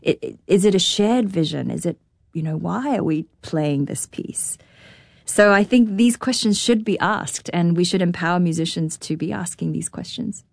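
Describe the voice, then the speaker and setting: Kiwi woman in her 30s, kinda low voice, earnest, lots of questions, dry close sound. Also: lively intonation, normal speed, gravelly, dry recording. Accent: New Zealand. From New Zealand. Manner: earnest, searching, many rhetorical questions. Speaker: guest, orchestra conductor. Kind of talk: reflective interview conversation.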